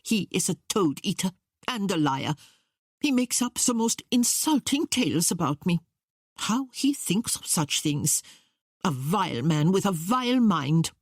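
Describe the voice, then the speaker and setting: An imperious middle-aged queen from a historical novel, acted out by a woman narrator. She is scornful and declarative, with a husky edge and swooping, animated pitch. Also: measured pace, dry recording, studio quality, exaggerated voice.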